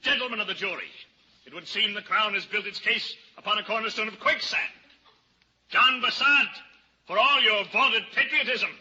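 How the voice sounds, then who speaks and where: A cunning, pompous male barrister in an old radio drama. His voice is high and cuttingly bright, with grand courtroom declamation. The sound is band-limited, with faint noise. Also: moderate pace, breathy edge, full character voice. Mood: triumphant, thundering. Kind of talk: vintage radio drama acting. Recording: dull, noisy old-time radio recording.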